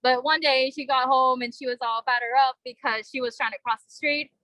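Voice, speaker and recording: Bright, earnest young woman at a vigil. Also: sits high, fairly even intonation, dry recording, poor audio. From Vietnam. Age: young adult. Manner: earnest, halting, many fillers. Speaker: vigil speaker. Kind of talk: personal speech at a vigil.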